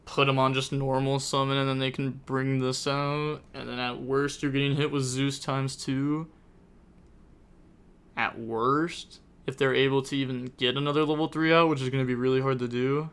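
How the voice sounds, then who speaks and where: A man's voice: mid-range voice, flat delivery, moderate pace, fairly bright tone, clear, resonant tone. A young man casually commentating a card game tournament on his live stream, chatty and rambling as he thinks aloud.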